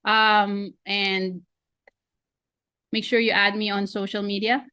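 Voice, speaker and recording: A woman's voice: mid-range voice, flat delivery, slow, sharp tone, clean tone, no echo. A woman in her forties with a Filipino accent, chatty and self-deprecating, coaching a webinar over a video call. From Philippines. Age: 40s. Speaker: real estate coach. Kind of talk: online training webinar.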